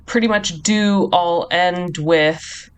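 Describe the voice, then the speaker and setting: A woman's voice: lowish voice, slow, smooth. A woman in her thirties, a film critic guest on a podcast, talking casually in long reflective thoughts. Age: 30s.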